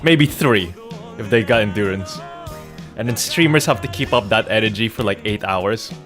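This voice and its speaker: Male streamer, fairly deep voice, loose sweary chat, joking delivery, studio condenser mic, faint music behind. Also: expressive, moderate pace, fairly bright tone. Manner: rambling, informal, swearing; joking, irreverent. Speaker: game streamer. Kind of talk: casual livestream chat. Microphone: Rode NT1-A studio condenser microphone. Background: background music.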